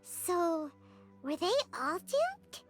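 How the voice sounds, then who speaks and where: An excitable little fairy sidekick chirps in a squeaky, extremely high voice. The delivery is wildly animated, blunt and childlike, made for an anime game with music behind it. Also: female voice, extremely slow, full character voice. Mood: weary, curious. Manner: refers to self in third person. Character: small excitable childlike female fairy companion, loyal and blunt.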